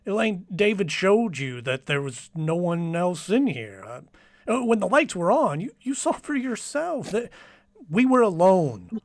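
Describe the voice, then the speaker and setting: An actor playing a doubtful, concerned brother who stammers gently in old-time radio drama style. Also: male voice, sits high, very animated, measured pace, no echo, clean studio sound, heavily acted. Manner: stammering, hesitant.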